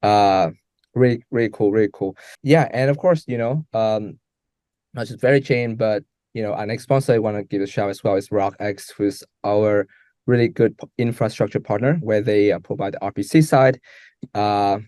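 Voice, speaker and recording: A man hosting an event off the cuff, speaking in a halting way with many fillers. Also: on the low side, warm tone, dry recording, clean studio sound. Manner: halting, filler-heavy speech. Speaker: hackathon host.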